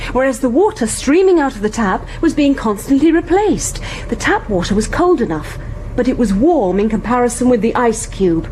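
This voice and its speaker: A woman's voice: sits high, dark tone, slight background noise, poor audio. An actress playing a clever, insistent woman in a radio drama, articulately explaining her ideas.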